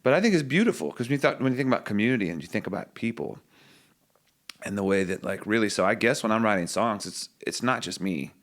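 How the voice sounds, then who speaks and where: Deep-voiced man musing as a podcast guest. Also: animated, fast. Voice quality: low singing voice. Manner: thoughtful, musing, loose restarts. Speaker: singer-songwriter guest. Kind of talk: reflective podcast conversation.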